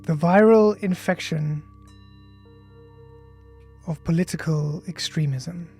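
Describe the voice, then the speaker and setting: An author reading their own nonfiction audiobook in a measured, formal, deliberate way. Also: medium pitch, a little mellow, faint music behind. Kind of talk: scripted audiobook narration of an essay.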